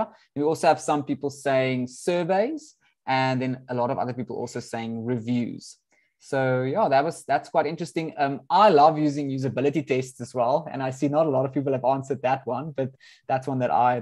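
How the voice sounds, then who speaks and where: A man's voice: mid-range voice, darkish tone. A man whose first language is Afrikaans, hosting an online product talk over a video call in a friendly way with many ums. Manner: friendly, filler-heavy with frequent ums. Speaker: host. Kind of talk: webinar introduction.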